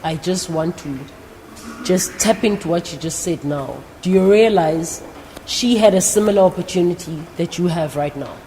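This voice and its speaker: A woman's voice: on the low side, normal speed, mellow. A South African woman hosting an interview, encouraging and speaking directly to her audience. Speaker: interview host. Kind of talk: live career talk show interview before young guests. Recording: echoing hall with faint background noise.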